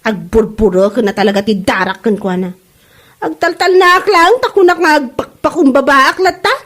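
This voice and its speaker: A woman playing an aggrieved, quick-tempered seller in a comedy drama, with an Ilocano accent. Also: high voice, lively intonation, a bit bright. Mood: angry, aggrieved.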